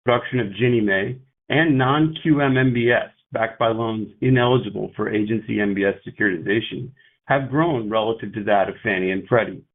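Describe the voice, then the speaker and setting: A male company executive speaking in a measured, formal, technical way on an investor earnings call. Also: on the low side. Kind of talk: prepared investor remarks and analyst Q&A on an earnings call. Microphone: conference call line. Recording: tinny narrow-band phone line.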